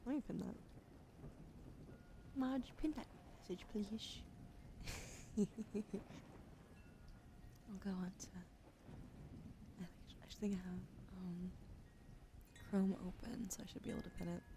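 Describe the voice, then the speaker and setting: A woman streaming ASMR, softly whispering in a chatty, playful way, with a slight lingering cough as she recovers from being sick. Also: medium pitch, slow, vocal fry, long pauses, noisy background. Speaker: livestreamer.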